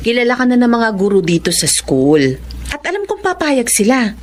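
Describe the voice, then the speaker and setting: A woman playing a kindly, encouraging school teacher in a Filipino radio drama, expressive and nurturing, with a Filipino accent. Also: fairly high pitch, normal speed, slight background noise. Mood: persuasive.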